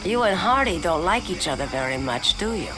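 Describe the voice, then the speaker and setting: An actress playing a pragmatic, no-nonsense survivor, direct and businesslike, in a video game cutscene. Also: female voice, on the low side, very animated, fairly quick, over music, poor audio. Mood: firm.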